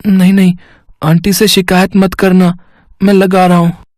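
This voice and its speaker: A man acts a poor maid's little son from a storybook. He is meek, innocent and pleading, in a highish, dry-recorded voice. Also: level tone, unhurried, darkish tone, no echo. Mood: timid pleading.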